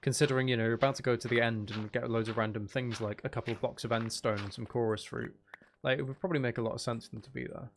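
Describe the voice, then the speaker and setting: A man's voice: medium pitch, fairly even intonation, quick pace, a little hiss or hum. A man giving casual, rambling gameplay commentary, thinking aloud as he plays. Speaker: gaming video creator playing Minecraft.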